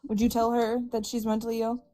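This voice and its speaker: Higher voiced woman, mellow, calling people out. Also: monotone, quick pace. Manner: pointed, scolding. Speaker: debate panelist.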